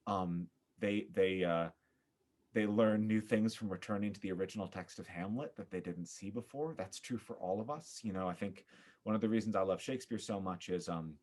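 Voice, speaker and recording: Male theater director as interview guest, lower voice, earnest, reflective, halting phrasing. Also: a little mellow.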